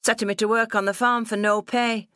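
Woman acting rebellious farm daughter of nineteen, resentful, sarcastic, higher voice, dry audiobook sound. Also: level tone, brisk, sharp tone, smooth, dry recording. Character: defiant, sharp-tongued nineteen-year-old farm girl, resentful daughter. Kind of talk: audiobook character dialogue.

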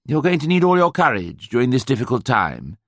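A man's voice: mid-range voice, huge pitch swings, fairly quick, no room sound, clean studio sound, voice pushed up. A man voicing a strained, drawling upper-class Englishman bearing bad news in a mannered, gushing way. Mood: solemn, gushing.

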